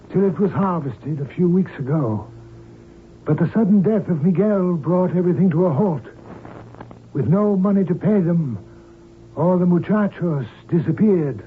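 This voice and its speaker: An actor playing a fanatical, pompous cult preacher and hijacker in an old-time radio drama, speaking in pious scriptural phrases. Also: male voice, high-pitched, expressive, measured pace. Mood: pious, fervent. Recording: tinny, band-limited old radio recording, hissy.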